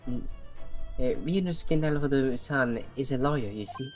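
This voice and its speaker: A man's voice: mid-range voice, moderate pace, a little mellow, soft background music, close to an everyday voice. A man voicing a formal, polite assistant to a lawyer in a hobby game dub. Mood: politely apologetic.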